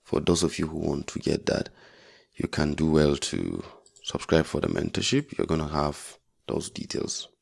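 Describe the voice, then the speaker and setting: A man's voice: rumbling low, steady tone, moderate pace, vocal fry, a little mellow, breathy edge. A man giving an informal trading tutorial as an instructor, speaking bluntly and emphatically, repeating phrases for stress and adding check-in tags. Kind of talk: informal tutorial explaining a chart.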